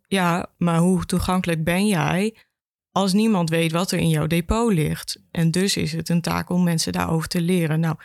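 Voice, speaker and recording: A woman's voice: lowish voice, no room sound, studio quality. A woman, talking conversationally as an archive education officer in an interview.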